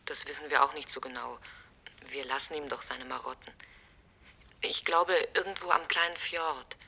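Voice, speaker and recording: Casting: casual, teasing housemate on a call in a radio play, piercingly bright tone. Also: mid-range voice, steady tone, moderate pace, creaky, husky, full character voice. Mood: gently mocking. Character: casual, faintly mocking housemate on the telephone. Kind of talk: German radio drama acting.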